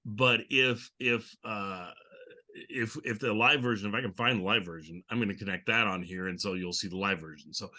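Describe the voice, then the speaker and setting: Man hosting a podcast, kinda low but bright voice, chatty, restarts his sentences, casual. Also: normal speed. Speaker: podcast host discussing old television and radio comedy. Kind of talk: casual discussion.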